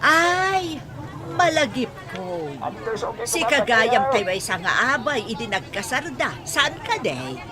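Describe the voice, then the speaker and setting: A woman's voice: a little high, very animated, slow pace, a crowd in the background. A woman acting an older village mother-in-law in a radio drama, chatty, exclaiming and exasperated. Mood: chatty, pitying.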